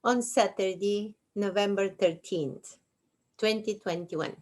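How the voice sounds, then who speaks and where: A woman in her sixties with a Bulgarian accent, host of her own show, reading her memoir aloud slowly and deliberately with dry humor. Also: mid-range voice. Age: 60s. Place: at home on a couch.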